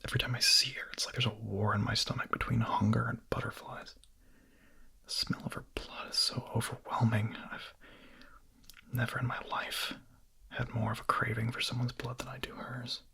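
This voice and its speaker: A man playing a hungry, nervous, lovestruck young vampire in an ASMR roleplay, speaking in a breathy, husky, hesitant whisper. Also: medium pitch, little pitch movement, sharp tone. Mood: furtive, yearning. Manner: hesitant, halting, confessional.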